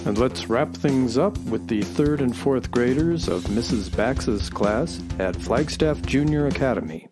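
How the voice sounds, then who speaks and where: A man announcing the closing credits of a radio show in a friendly, easy manner. His pitch moves in a lively way, and music plays clearly behind him. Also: unhurried, hardly any pauses.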